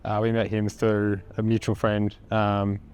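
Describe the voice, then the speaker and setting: A young Australian man with a raspy voice, a band frontman answering interview questions in a laid-back, hesitant way with lots of ums. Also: on the low side, completely flat delivery, measured pace, darkish tone.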